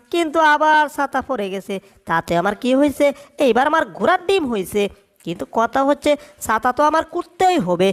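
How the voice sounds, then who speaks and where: A performer voicing an excitable, boastful male cartoon cat in a comedy dub, exclaiming and narrating his own antics. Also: medium pitch, natural voice. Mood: determined, irritated.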